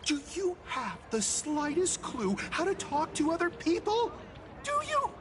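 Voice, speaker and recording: A woman voicing an excitable, scolding young comic-fan girl in a video game, with music behind. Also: very high-pitched, a little slow, a crowd in the background, noisy background, exaggerated voice. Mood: indignant.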